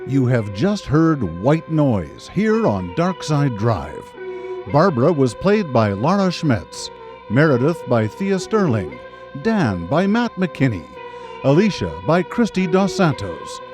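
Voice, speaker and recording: A man's voice: on the high side, big pitch swings, slow pace. A man announcing and narrating a radio drama series in a theatrical, scripted style. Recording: live radio broadcast with music behind. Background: music.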